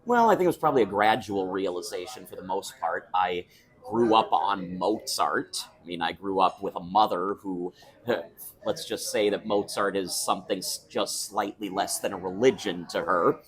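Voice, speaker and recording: Young baritone man, somewhat low voice. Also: moderate pace. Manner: chatty, thoughtful, with restarts and laughs. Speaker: opera singer, guest. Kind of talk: casual interview chat. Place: restaurant.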